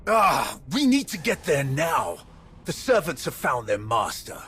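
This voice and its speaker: An actor playing an intense, battle-worn dark warrior in a video game, speaking grimly and forcefully. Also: male voice, a little high, wildly swooping pitch, a little slow, a little hiss or hum, full character voice. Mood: grim, urgent. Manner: grim, forceful.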